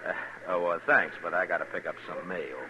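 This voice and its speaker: A deep, crisp-edged American lawman, easygoing and dryly teasing. It is vintage western radio with a faint layer of noise. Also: fairly even intonation, brisk, bright, forceful tone, poor audio. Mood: easygoing, polite. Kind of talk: 1950s western radio acting.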